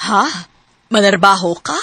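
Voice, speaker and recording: A woman with a Cebuano Filipino accent playing a protective, decisive older mother-in-law with a husky voice in a radio drama. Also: medium pitch, fairly even intonation, unhurried, sharp tone, faint music behind. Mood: firm, caring.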